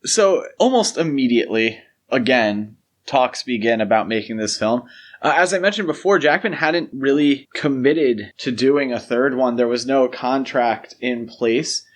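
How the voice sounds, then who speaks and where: A man's voice: medium pitch, animated, measured pace. A man hosting a podcast, talking casually about films in an explanatory, opinionated way with long, winding thoughts. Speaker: podcast host. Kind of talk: casual film discussion.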